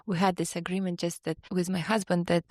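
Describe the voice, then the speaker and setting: A middle-aged woman from Kazakhstan, co-hosting a podcast in casual, thoughtful talk with many pauses and fillers. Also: fairly low pitch, flat delivery, a little mellow, no room sound, studio quality. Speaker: podcast co-host. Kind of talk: casual chat.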